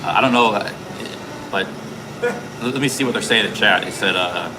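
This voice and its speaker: Loud low-voiced guy streaming outside, lots of uh and restarts, street noise behind. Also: moderate pace. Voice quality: loud, carrying voice. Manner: rambling, many fillers and restarts. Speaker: livestream host. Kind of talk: casual chat while streaming. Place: city street outdoors. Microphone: streaming camera rig.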